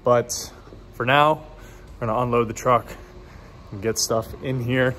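A man's voice: mid-range voice, steady tone, a little slow, background noise. A young man in his twenties filming himself with a phone at arm's length in an empty warehouse, thinking aloud with fillers and restarts. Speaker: small business owner vlogging. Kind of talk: casual vlog narration. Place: empty warehouse and office, indoors. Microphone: phone camera held at arm's length.

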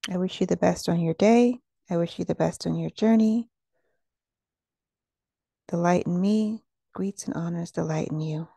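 Gentle woman with a creaky, mellow voice. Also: lowish voice, moderate pace, pauses often, dry recording. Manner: gentle, calming delivery. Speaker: meditation guide. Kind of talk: guided meditation narration.

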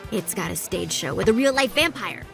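A woman's voice: a little high, big pitch swings, normal speed, gravelly, over music. A woman voicing a dry, sarcastic young sorceress in a cartoon. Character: deadpan gloomy young sorceress.

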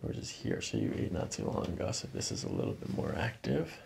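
A middle-aged man working as a chiropractor, calmly guiding a patient in short, reassuring phrases in an ASMR style. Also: rumbling low, fairly quick, creaky, a little hiss or hum. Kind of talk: calm hands-on ASMR treatment talk, instructing a patient. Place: small carpeted treatment office, indoors. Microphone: microphones on boom stands near the table.

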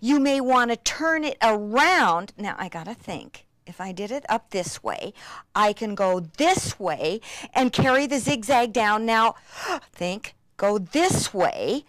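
A woman giving an enthusiastic hands-on craft demonstration, gushing and breathlessly excitable, with hushed asides. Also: fairly high pitch, takes it slowly, no echo, low-quality recording. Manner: gushing, breathlessly excited, with frequent gasps and exclamations.

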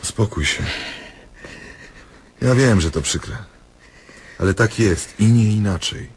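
An actor playing a diabolical doctor who toys mockingly with his patient in a theatrical, drawn-out radio drama style. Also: on the low side, husky, exaggerated voice. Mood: scolding.

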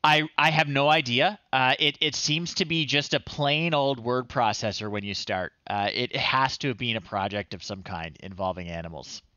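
A man in his thirties hosting a casual livestream, talking in an unhurried, amused, halting way. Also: medium pitch, sharp tone, no room sound, highs cut off. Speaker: livestream host. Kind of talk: casual livestream commentary, reading children's stories aloud. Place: small home room, indoors.

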